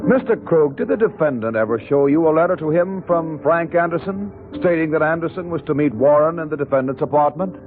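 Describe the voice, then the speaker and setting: An actor in a 1940s radio drama playing a relentless, sarcastic prosecutor, oratorical and insistent, on a muffled old recording. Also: male voice, fairly high pitch, brisk, hardly any pauses, natural voice. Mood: pressing, skeptical. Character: relentless, sarcastic prosecutor pressing his case.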